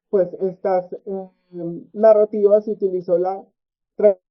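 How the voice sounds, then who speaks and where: A man giving an academic research presentation at a conference, halting often with filler sounds and tag questions. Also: sits high. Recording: tinny phone-like audio.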